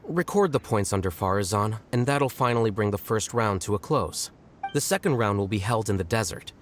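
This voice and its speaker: A man voicing a cool, aloof scholar official in a video game, with a dry, measured, sardonic manner. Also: on the low side, animated, natural voice. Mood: businesslike.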